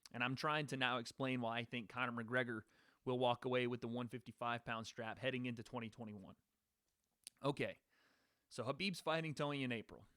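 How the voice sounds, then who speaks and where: A man's voice: medium pitch, steady tone, fairly quick, a bit bright, no echo. Male stream host, casual rambler, chats with his viewers, mid voice, dry sound. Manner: casual, rambling, talks to live chat. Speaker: podcast host. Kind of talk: live-stream sports predictions.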